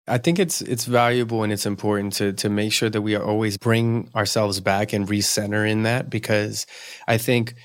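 A man's voice: on the low side, little pitch movement, moderate pace, no room sound, studio quality. A man hosting an interview show, asking long, reflective questions and summing up points. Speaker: podcast host.

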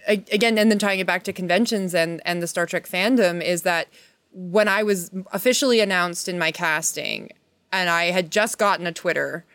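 A woman in a casual chat as a guest, effusive and rambling, often halting and restarting her thoughts. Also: fairly low pitch, a bit bright, dry recording. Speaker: panel guest, actress.

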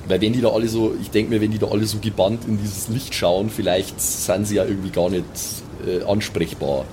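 A man's voice: fairly low pitch, little pitch movement, a little fast, noisy background. A man, improvising casually as a player in a tabletop role-playing game. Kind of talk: casual improvised in-character play and table talk.

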